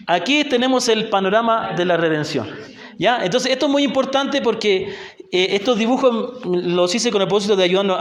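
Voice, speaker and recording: A man's voice: extremely high pitch, roomy sound. A Chilean man, a missionary teacher, leading a Bible class and patiently asking the group questions, often checking in with "ya?". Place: church hall in a rural community.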